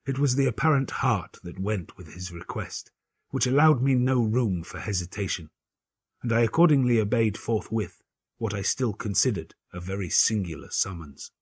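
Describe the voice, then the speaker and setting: A man giving a dramatic gothic audiobook narration in a grave, measured, theatrical manner, with a husky voice. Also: lowish voice, no room sound. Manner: grave, measured, theatrical delivery with formal literary phrasing.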